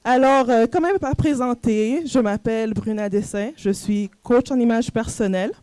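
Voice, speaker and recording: A woman's voice: on the high side, normal speed, warm tone, no echo. A woman from Montreal with a Quebec accent, speaking as a coach in an informal motivational talk, conversational and full of rhetorical questions. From Montreal, Canada. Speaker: personal image coach and speaker.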